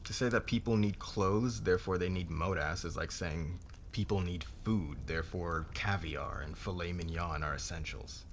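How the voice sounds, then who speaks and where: A man playing a haughty, domineering businessman boss in an intimate ASMR roleplay, speaking in a measured, condescending way. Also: low voice, gravelly, slight background noise. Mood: businesslike.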